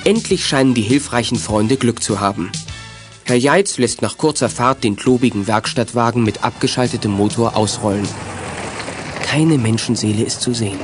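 An actor as the earnest storyteller of a children's detective radio play, reading scripted narration. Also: male voice, mid-range voice, normal speed, close to an everyday voice. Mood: earnest storytelling. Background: music.

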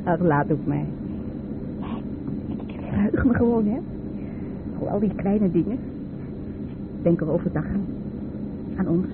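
A woman's voice: on the low side, lively intonation, measured pace, long pauses. An actress playing a playful, dreamy, affectionate young woman in a radio play, teasing and chatting informally on a tinny, noisy old recording. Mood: wistful, tender. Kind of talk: naturalistic radio play dialogue.

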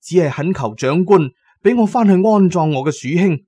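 Man playing a plaintive, high-pitched wretched addict. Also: animated, normal speed, dark tone, breathy edge, no room sound, clean studio sound. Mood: grieving lament. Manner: plaintive, sorrowful, emphatic. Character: destitute male opium addict, tearful, lamenting, loyal to his dead friend. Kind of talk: Cantonese audio drama acting.

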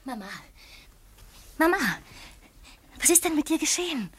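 An actress in a German radio play playing an anxious wife with a guilty secret, husky, agitated, defensive and reproachful. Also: female voice, sits high, expressive, normal speed. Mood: anxious urging. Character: anxious, accusing wife hiding a murderous secret.

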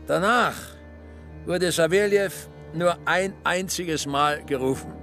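An actor playing a haunted Gulag prisoner recounting camp life in a literary radio play. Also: male voice, fairly high pitch, slow, pushed and bright, full character voice. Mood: haunted, recollecting. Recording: music behind the voice. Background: music.

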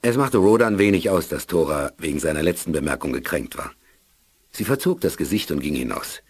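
A man's voice: a bass voice, lively intonation, a little fast, husky, dry recording, clean studio sound. A man narrating a scripted radio play in a storytelling role.

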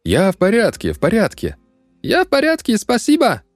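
A man putting on a grinning male interpreter who bluffs his way through every question, his voice pitched far above a low reader's. He answers in short, eager, clipped bursts, nodding along, with a lively, bouncing lilt. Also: normal speed, no echo, clean studio sound, faint music behind.

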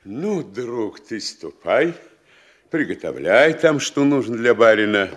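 Актёр в театральной манере радиоспектакля играет немолодого провинциального городничего, который то заискивает, то командует, хрипловатым голосом. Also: male voice, medium pitch, big pitch swings, slow pace. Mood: commanding, gruff. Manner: theatrical, shifting from ingratiating to commanding. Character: blustering, wheedling middle-aged town mayor, provincial Russian official. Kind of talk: theatrical radio-play acting of classic comedy.

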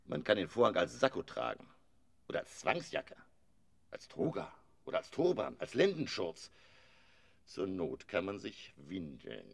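Eccentric theatre lecturer, radio drama, very low voice, hugely varied delivery, pompous, declamatory, irascible. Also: male voice, huge pitch swings, measured pace, vocal fry, heavily acted. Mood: musing. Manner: pompous, declamatory, rambling, swinging from muttering to bellowing. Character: eccentric, irascible male theatre lecturer obsessed with stage curtains.

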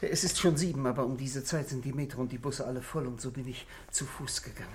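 An actor playing an anxious, brooding husband in a 1960s German radio play, polite and halting, with a hushed, breathy voice. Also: male voice, mid-range voice, slight background noise, lightly acted. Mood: nervous. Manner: hesitant, halting, apologetic, dropping to a whisper.

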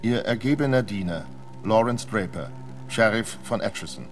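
Guy doing a serious old-west sheriff reading his letter, lowish voice, formal, faint music behind. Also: expressive, close to an everyday voice. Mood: sober, official. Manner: measured, formal. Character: sober frontier sheriff, formal and courteous. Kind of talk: letter read aloud in radio play.

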